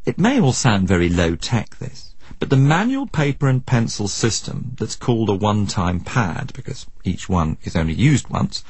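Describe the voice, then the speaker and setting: A man's voice: lowish voice, very animated, normal speed, darkish tone, breathy edge, no room sound. A man presenting a radio documentary, mixing scripted narration with probing questions in a wry, inquisitive manner.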